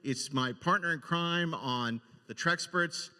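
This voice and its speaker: A man hosting unscripted, introducing things effusively and enthusiastically, with a halting, filler-heavy delivery. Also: fairly high pitch, sharp tone, clean tone. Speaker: podcast and convention panel host. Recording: echoey hall with long reverb.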